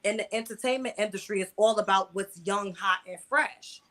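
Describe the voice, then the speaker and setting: A woman's voice: medium pitch, moderate pace, fairly bright tone. A woman in her mid twenties with an American accent, telling personal stories candidly as an interview guest, in colloquial language with repeated words for emphasis. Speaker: interview guest, online video creator.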